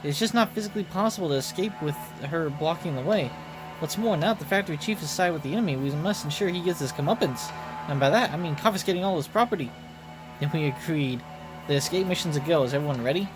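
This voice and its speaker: Game party leader, male reader: resolute, grand, orderly delivery, somewhat high male pitch, music clearly underneath. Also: quick pace, natural voice. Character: resolute escape planner, grandly determined and vengeful.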